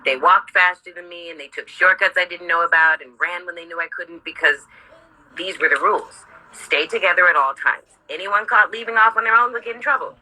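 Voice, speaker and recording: A woman with a husky voice reading her own memoir aloud informally, candid, sardonic and sweary, breaking off for asides. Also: on the low side, brisk, pushed and bright, rough recording.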